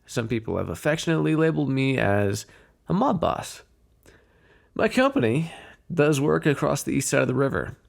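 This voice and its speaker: Flirtatious, menacing mob boss acted by a man, gravelly. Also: medium pitch, very animated. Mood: sly, businesslike. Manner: teasing, insinuating, drawn-out phrasing. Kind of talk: ASMR roleplay voice acting, one-sided dialogue.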